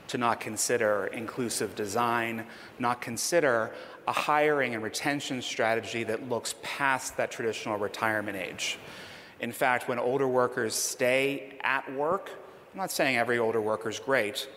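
A man at a conference lectern, pressing his points home with emphatic, persuasive conviction. His voice sits at an ordinary middle pitch and carries a long hall reverb, with a faint hum of noise behind it. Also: fairly bright tone. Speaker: conference presenter. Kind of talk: presentation and audience Q&A.

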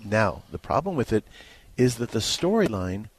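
A man's voice: on the low side, very animated, moderate pace, husky. A man giving a conversational movie review as a critic, informal and emphatic in his delivery. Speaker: film critic.

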